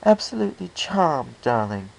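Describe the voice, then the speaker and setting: A man's voice: on the high side, very animated, moderate pace, gravelly, darkish tone, a little hiss or hum, pitched above the performer's own voice, low-quality recording. A man voicing a flirtatious, refined female storybook pony with an airy, affected, purring manner. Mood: gushing. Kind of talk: storybook character voice in fan fiction reading.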